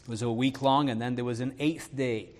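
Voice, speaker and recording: Earnest preacher with a Leeds accent. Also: male voice, medium pitch, fairly even intonation, noisy background. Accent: Leeds English, touched by Irish and American. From northern England. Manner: earnest, fills pauses with "uh" and "um"; reads scripture slowly with emphasis. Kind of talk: sermon with scripture reading.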